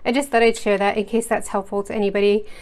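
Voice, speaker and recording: A woman's voice: medium pitch, little pitch movement, quick pace, a little mellow. Chatty American woman rambling while painting. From United States. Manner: chatty, rambling, lots of fillers and self-corrections. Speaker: art channel host. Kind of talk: casual rambling art chat. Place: indoors at a home desk. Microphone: overhead camera setup, speaker off-screen.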